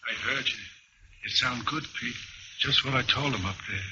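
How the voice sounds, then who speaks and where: A man's voice: mid-range voice, normal speed, close to an everyday voice. A man acting a doomed jazz horn player, casual and plain-spoken, in 1950s radio drama style. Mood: resigned. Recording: muffled, hissy vintage radio recording.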